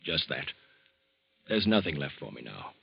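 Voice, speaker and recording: An actor playing a gentle, worn-out older uncle who speaks softly and haltingly in an old radio drama. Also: male voice, extremely low pitch, big pitch swings, creaky, husky, dry recording, full character voice. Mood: despairing. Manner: soft-spoken, halting. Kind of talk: 1940s radio acting. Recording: dull old radio recording.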